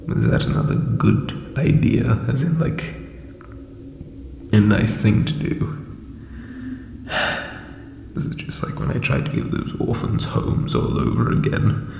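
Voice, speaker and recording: Male actor as undead skeleton king, low gravelly croak, sheepish failed villain, halting stammer, audio drama, reverberant hall. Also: darkish tone, slight background noise, full character voice. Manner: hesitant, stammering, sheepish, rambling. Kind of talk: character roleplay audio drama monologue.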